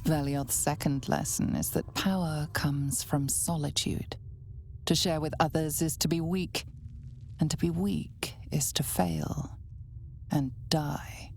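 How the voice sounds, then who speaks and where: An actress playing a solemn, ominous storyteller, narrating a fantasy video game in a measured, deliberate way. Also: female voice, low voice, gravelly, a little mellow, a little hiss or hum, exaggerated voice. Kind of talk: dramatic scripted game narration.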